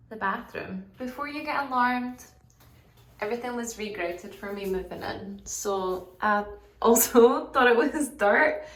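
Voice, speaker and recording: A woman vlogging a casual apartment tour indoors, talking in a monotonous, relaxed way with lots of fillers. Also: mid-range voice. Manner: monotonous, flat delivery; relaxed, filler-heavy talk.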